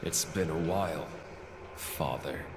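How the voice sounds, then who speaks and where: A man's voice: a bass voice, slow, noisy background. A man playing a brooding, defiant villain in a dramatic video game, terse and menacing. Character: brooding, defiant villainous son confronting his maker. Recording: echoing space, music under voice.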